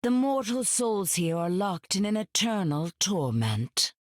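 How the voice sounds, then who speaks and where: An actress playing an imperious goddess queen of the dead in a video game, measured and regal with a husky menace. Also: medium pitch, very animated, dry recording, studio quality. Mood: regal, grave.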